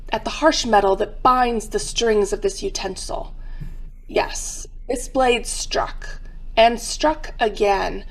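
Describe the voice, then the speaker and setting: Woman as slow, solemn radio mystery narrator. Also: fairly high pitch, creaky, full character voice, rough recording. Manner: theatrical, deliberate. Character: solemn, macabre museum guide narrating murder stories. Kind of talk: dramatic old-time radio narration.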